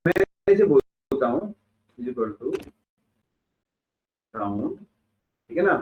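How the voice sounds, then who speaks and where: A man's voice: medium pitch, sing-song, extremely slow, a little hiss or hum. Man lecturing from far off in an echoey classroom. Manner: explains step by step with rhetorical questions. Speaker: instructor. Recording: echoey room, far from a phone-like mic.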